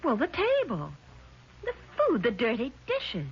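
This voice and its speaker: Indignant, rattled woman in vintage radio drama; higher-pitched, insistent, faint noise on an old broadcast. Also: huge pitch swings, measured pace, muffled recording, heavily acted. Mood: bewildered, demanding. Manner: emphatic, indignant, faltering when doubted. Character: agitated, confused woman insisting on her memory. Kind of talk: 1970s radio drama acting.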